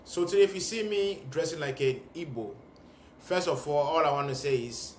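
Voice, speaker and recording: A man's voice: a little high, normal speed, a little hiss or hum. A young man from Ghana and Sierra Leone speaking to camera in an earnest, emphatic vlog monologue, repeating phrases for effect. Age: young adult. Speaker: vlogger. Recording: echoey room.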